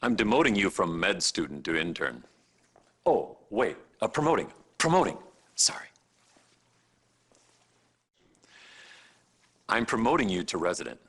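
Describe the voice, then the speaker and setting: Pompous, swooping-voiced hospital chief. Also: male voice, sits low, very animated, brisk, a bit bright, husky, full character voice. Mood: mock jovial. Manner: pompous, self-satisfied. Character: smug, vain, lazy hospital chief of staff. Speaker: actor in game cutscenes. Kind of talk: live-action video game cutscene acting.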